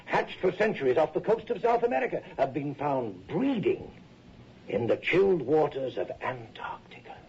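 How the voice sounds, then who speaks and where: Intense eccentric professor, wildly swooping voice. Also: male voice, on the high side, sing-song, moderate pace, gravelly, darkish tone. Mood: brooding, intense. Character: eccentric male professor of sea life, intense and brooding. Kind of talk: radio drama acting, theatrical. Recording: dull, hissy radio drama recording.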